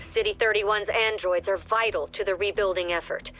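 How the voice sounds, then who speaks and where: A woman plays a stern female commander in a tactical video game. Her delivery is clipped and businesslike, at a middle pitch, like a formal mission briefing. Faint music runs underneath. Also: steady tone, normal speed, fairly bright tone, natural voice. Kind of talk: scripted game briefing narration.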